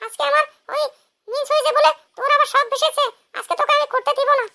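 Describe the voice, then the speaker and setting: A performer as a shrill, scolding cartoon wife. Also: steady tone, quick pace, creaky, sharp tone, heavily acted. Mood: scolding, taunting. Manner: taunting, brash, scolding. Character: scolding, sharp-tongued village wife in a comic cartoon. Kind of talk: comic cartoon dialogue.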